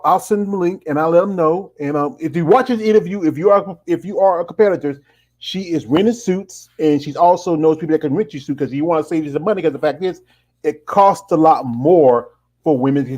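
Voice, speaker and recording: A middle-aged man hosts a casual streamed interview, wandering from point to point and filling the gaps with "you know". His voice sits a little higher than most men's. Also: fairly quick, a little mellow. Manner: rambling, with many "you know" fillers. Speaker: host.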